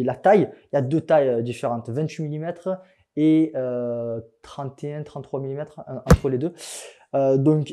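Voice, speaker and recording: Joking French fitness coach, mellow voice. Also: male voice, medium pitch. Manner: joking, chatty, laughs at himself, many fillers. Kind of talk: casual instructional vlog.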